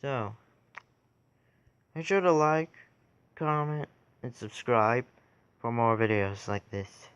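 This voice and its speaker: A man giving a casual how-to tutorial in a halting way, pausing between short phrases. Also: medium pitch, animated, a bit bright, clear, resonant tone, muffled recording. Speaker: tutorial video creator.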